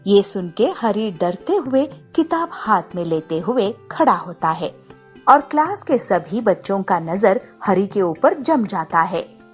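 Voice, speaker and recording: A woman reads a scripted moral tale as its narrator. She takes her time with animated, swinging intonation, and faint music plays beneath. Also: mid-range voice, a little slow, slightly rough.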